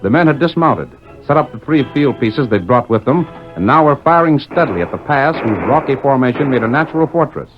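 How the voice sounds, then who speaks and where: A man's voice: medium pitch, lively intonation, brisk, few pauses. A man with an American accent delivering dramatic, declamatory scripted narration in the style of 1940s radio. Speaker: announcer and narrator. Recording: muffled 1940s radio recording with music.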